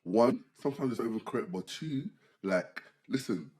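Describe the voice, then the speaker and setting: A man, co-hosting a casual podcast chat, animated, often saying "bro" and "like" and restarting his sentences. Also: mid-range voice, normal speed. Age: 20s. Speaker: podcast co-host.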